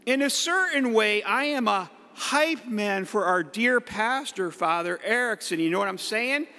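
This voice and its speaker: Middle aged priest preaching slow at the pulpit, kinda high voice, long church echo. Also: male voice, expressive. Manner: playful, with hype-man shouts. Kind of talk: homily from the pulpit. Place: large church. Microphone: pulpit mic. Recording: echoey church hall.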